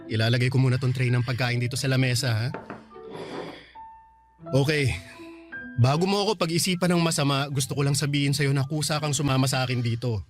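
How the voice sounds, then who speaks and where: An actor playing a calm young man in a Filipino radio drama, patiently explaining and defending himself. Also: mid-range voice, fairly quick, long pauses, music in the background, lightly acted. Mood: calm, soothing. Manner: soothing, explanatory. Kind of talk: Tagalog radio drama acting.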